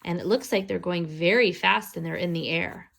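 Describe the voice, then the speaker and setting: A woman reading a children's storybook aloud expressively, pausing to explain words and pictures to young listeners. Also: lowish voice, gravelly, fairly bright tone.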